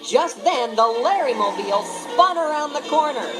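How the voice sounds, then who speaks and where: Expressive female storybook narrator. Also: sits high, moderate pace, rough recording. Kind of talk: read-along storybook narration. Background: background music.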